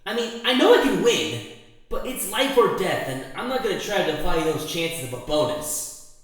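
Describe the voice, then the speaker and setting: A man's voice: high-pitched, sing-song, normal speed. A man playing a blunt, grumbling young game contestant, narrating in character in a fan audio drama with informal complaints. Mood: irritated, worried. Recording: very echoey room, faint music.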